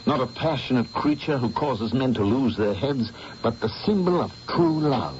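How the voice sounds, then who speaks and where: An actor playing a brooding, tense painter who talks curtly in an old radio drama. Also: male voice, mid-range voice, lively intonation, moderate pace, darkish tone, a little hiss or hum, close to an everyday voice. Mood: earnest. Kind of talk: 1970s radio drama acting. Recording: dull lo-fi old radio recording.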